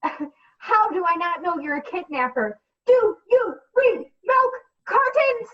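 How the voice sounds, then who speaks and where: A woman's voice: extremely high pitch, expressive, takes it slowly, heavily acted. A woman playing an exhausted, frantic single mother in a comedic radio play, with melodramatic exasperation. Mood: furious, frantic. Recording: dull, distant mic.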